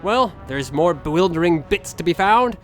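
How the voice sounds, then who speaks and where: A man's voice: high voice, lively intonation, normal speed, fairly bright tone, faint music behind. A man hosting a gaming video, giving scripted but casual commentary.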